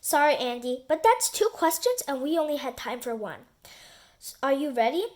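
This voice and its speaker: A woman voicing a bossy, practical girl from a children's storybook, brisk and firm. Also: sits high, very animated, darkish tone. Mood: matter-of-fact.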